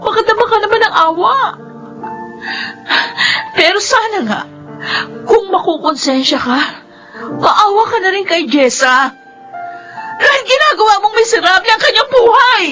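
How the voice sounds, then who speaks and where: A wounded, indignant young woman in a radio drama. Her voice is high and sharp, full of emotional reproach, with faint music behind. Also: very animated, unhurried, long pauses, full character voice. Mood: tearful pleading. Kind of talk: Filipino radio drama acting.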